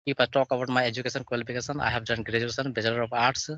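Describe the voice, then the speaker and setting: A man with an East Indian accent practising English conversation in a formal, deferential and somewhat halting manner. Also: medium pitch, little pitch movement, fairly bright tone, dry recording, lo-fi sound. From Jharkhand, India.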